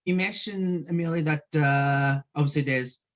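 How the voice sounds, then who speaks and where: A radio sports host introduces guests and asks questions. The delivery is rambling and hesitant, full of repeated stock phrases. The voice sits at a middling pitch. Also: normal speed. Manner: rambling, keeps repeating "of course" and "obviously". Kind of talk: radio interview hosting.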